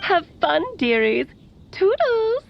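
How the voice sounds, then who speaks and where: A woman's voice: very high-pitched, very animated, takes it slowly, vocal fry, dull audio, full character voice. A woman playing a breezy, teasing, motherly witch in a video game, theatrical and sing-song, heard through a recording filter. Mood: cheerful.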